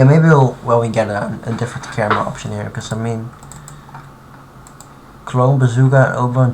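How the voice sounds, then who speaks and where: A man streaming live from his computer, talking through his modding in a halting, thinking-aloud way full of restarts. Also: medium pitch, darkish tone. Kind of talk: casual live-stream commentary while modding. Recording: clear background noise and typing.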